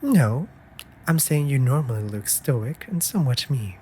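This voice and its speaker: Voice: mid-range voice, animated, a little slow, breathy edge. A performer playing a playful, affectionate raven familiar in an intimate comfort audio roleplay, with teasing, mock-offended delivery. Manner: teasing, mock-offended, breaking into soft murmurs.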